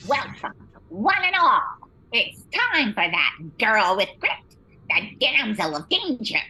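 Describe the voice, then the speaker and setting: A woman's voice: fairly high pitch, big pitch swings, slow pace, bright, forceful tone, full character voice, rough recording. A woman playing a self-important, supremely confident Munchkin announcer in an amateur radio comedy. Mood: grandly boastful. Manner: pompous, showy.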